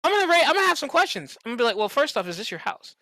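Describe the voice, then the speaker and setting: A podcast co-host chatting casually and comedically, acting out examples and swearing freely in an animated storytelling manner. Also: high voice, racing, dry recording.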